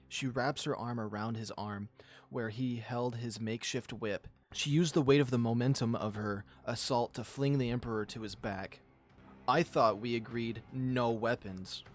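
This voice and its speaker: A man reading a visual novel aloud as a channel host, in a storytelling style with casual commentary. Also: medium pitch.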